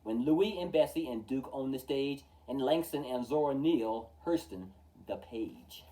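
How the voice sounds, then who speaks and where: A man hosting a storytime, reading a rhyming children's book aloud in a theatrical, measured way, with emphatic openings and falling line endings. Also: medium pitch, expressive, warm tone, far from the mic, rough recording. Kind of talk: expressive children's picture-book read-aloud in rhyme.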